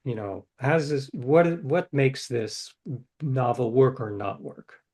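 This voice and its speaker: A man's voice: mid-range voice, animated, slow pace, a little mellow. Older man, interview guest, rambling storyteller, voices quoted dialogue, self-correcting. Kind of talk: casual storytelling.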